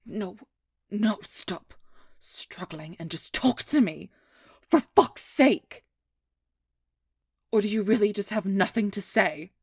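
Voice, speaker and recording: A woman acts out a determined female vampire hunter in an intimate audio roleplay. Her voice is very breathy and husky, often near a whisper, with a gravelly fry underneath. She speaks slowly and intensely, wounded but resolute. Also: medium pitch, lively intonation, a little mellow, dry recording, clean studio sound, exaggerated voice. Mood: urgent, angry. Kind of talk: ASMR roleplay audio drama.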